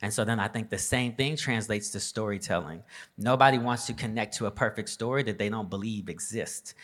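A man's voice: lowish voice, fairly quick, fairly bright tone. A man in his thirties speaking as a panelist, reflective and emphatic in a conversational way. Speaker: panelist, business leader. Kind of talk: live panel discussion.

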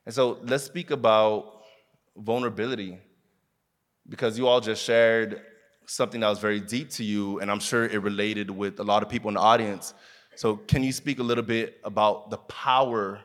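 A man's voice: fairly low pitch, fairly even intonation, moderate pace, fairly bright tone. A man from Miami moderating a live panel, earnest and conversational as he speaks to the audience. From Miami, USA.

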